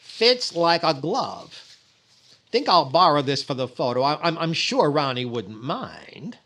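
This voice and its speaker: A man's voice: fairly high pitch, very animated, a little slow, a bit bright, slight background noise, full character voice. A man playing a vain, naive, fussy comedian in 1940s radio comedy style, exclaiming and stammering. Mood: smug, admiring. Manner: exclaiming, hesitant stammers, comic timing. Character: vain, naive, fussy comedian, easily flustered, boasting of possessions.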